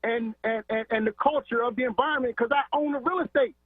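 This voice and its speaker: A high-pitched man rants over a tinny phone line with a husky edge to his voice. He is from Philadelphia, calling into a talk show, and he stacks up repeated words and rhetorical questions as he presses his point. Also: quick pace, no room sound. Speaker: caller. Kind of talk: call-in radio rant.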